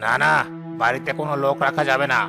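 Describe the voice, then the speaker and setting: A man's voice: medium pitch, fairly even intonation, fast talker, gravelly, natural voice. A man voicing a stern, exploitative older father-in-law in a cartoon, giving commanding orders. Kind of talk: cartoon voice acting. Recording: music behind the voice.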